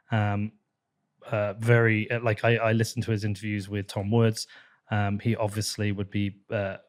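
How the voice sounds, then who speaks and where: A man hosting a podcast interview, chatty and self-deprecating. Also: lowish voice. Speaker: podcast host. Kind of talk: casual interview talk.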